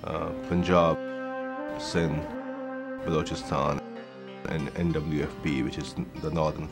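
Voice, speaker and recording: A man's voice: rumbling low, flat delivery, measured pace. A man explaining conversationally as an interviewee in a documentary. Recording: music playing behind the voice.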